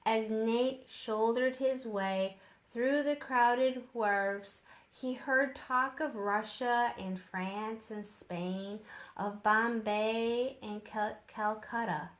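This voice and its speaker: A woman reading a children's book aloud as a storyteller, in a deliberate way. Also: a little high, echoey room.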